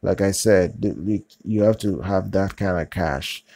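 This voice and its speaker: A man hosting his own channel, giving casual product news in a halting way, with many fillers, restarts and repeated phrases. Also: low-pitched, gravelly, a little mellow, dry recording. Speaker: channel host.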